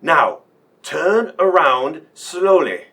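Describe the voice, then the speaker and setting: A woman playing a commanding, aristocratic lord of the manor in a radio mystery, giving brisk orders. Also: sits low, big pitch swings, a bit bright, far from the mic, a little hiss or hum, heavily acted. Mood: commanding, urgent. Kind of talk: radio play acting.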